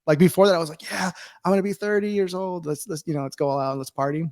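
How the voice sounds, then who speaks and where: A man in his forties chats loosely as co-host of a live stream. His voice sits a little high and he laughs easily. The sound is dry and close. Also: steady tone, fast, a little mellow, no room sound. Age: 40s. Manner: laughing, upbeat, rambling. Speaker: livestream co-host. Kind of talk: casual chat.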